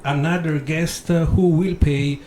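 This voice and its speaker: Slow, jokey Italian radio host. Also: male voice, on the high side, steady tone, darkish tone. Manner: jokey, lots of "uh" fillers. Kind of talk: casual radio chat.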